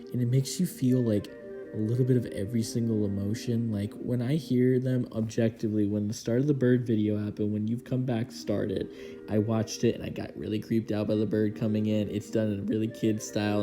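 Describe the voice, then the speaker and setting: A young man hosting a casual video essay as a horror fan, speaking informally and earnestly with some swearing. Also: medium pitch. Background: clear background music.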